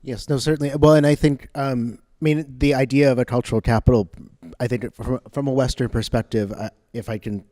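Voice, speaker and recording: A man speaking as a museum panelist, earnest and measured. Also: mid-range voice, steady tone, mellow. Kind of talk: panel discussion. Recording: echoey hall.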